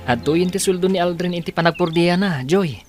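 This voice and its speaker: A man's voice: high-pitched, fairly quick, faint music behind, natural voice. An actor playing a concerned male village friend in an Ilocano radio drama. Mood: concerned, sceptical.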